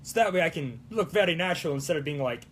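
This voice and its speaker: A man's voice: on the high side, lively intonation, fast, slightly rough. A man rambling casually as a livestream host, playful and theatrical, with a put-on, wavering Italian accent. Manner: playful, theatrical, peppered with mock-Italian exclamations. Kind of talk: casual rambling livestream chat while crafting.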